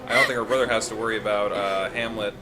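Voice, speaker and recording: Very deep-voiced, wisecracking woman gamer. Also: steady tone, quick pace. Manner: teasing, wisecracking. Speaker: gaming channel co-player. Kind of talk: casual joking group game chat. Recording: music clearly behind the voice.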